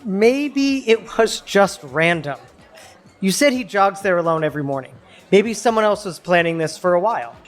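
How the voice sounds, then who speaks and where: A man's voice: sits high, animated, moderate pace, faint music behind. Hesitant, defensive young male associate. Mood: defensive, uneasy. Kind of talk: audio drama acting.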